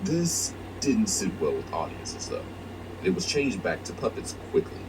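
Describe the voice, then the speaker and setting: A man's voice: low voice, wildly swooping pitch, normal speed, distant mic. A man reading scripted narration for a list video, heard as noisy replayed audio.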